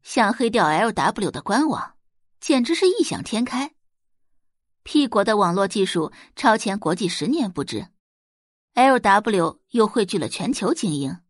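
Female narrator, scripted story delivery, lively pitch swings, dry close sound. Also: measured pace, no echo.

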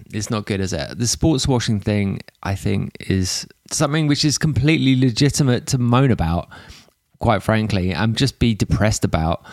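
A man with an English accent hosting a podcast, slightly hoarse, rambling casually with restarts and fillers. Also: on the low side, no room sound, studio quality. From England. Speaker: podcast host. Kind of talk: casual monologue intro.

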